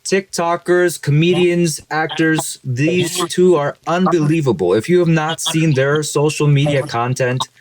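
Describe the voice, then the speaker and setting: A man's voice: a little high, steady tone, unhurried, few pauses, a crowd in the background, poor audio. Upbeat man hosting a podcast interview. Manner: upbeat, hyping up guests. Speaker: podcast host. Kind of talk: casual interview chat.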